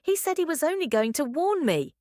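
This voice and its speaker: Storybook male employee, anxious, humble, deferential, acted by a woman; animated, dry recording. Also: high-pitched, a little fast, no room sound, voice pushed up. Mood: relieved. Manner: deferential, earnest.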